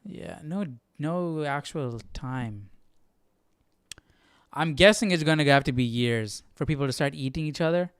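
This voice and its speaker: A man reading aloud and commenting casually, thinking out loud in informal, slangy words. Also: a little high, lively intonation, a little slow, clean tone, no echo. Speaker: host of a live Bible study stream.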